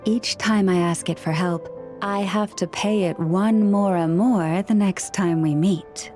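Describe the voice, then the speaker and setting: A woman voicing a calm, composed young woman who guides others with the help of animal helpers, in a video game cutscene. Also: mid-range voice, a little slow, over music, lightly acted. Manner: calm and reassuring.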